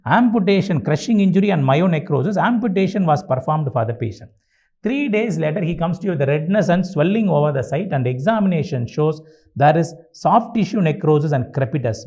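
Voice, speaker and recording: A man's voice: fairly high pitch, warm tone. A man with an Indian accent giving an exam-review lecture as a medical teacher, repeating key phrases and answering his own questions.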